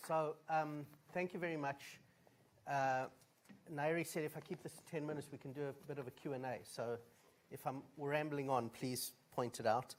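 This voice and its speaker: A man's voice: on the high side, fairly even intonation, normal speed, slight background noise. A man with a South African accent giving direct, plain-spoken opening remarks at a conference, with frequent fillers. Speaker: conference speaker.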